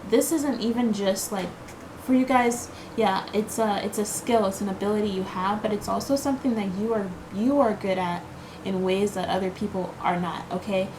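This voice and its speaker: A woman's voice: mid-range voice, normal speed, noisy background. A woman giving a casual tarot card reading to her viewers. She talks in a reassuring, chatty way, full of fillers and repeated "okay?" checks. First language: English.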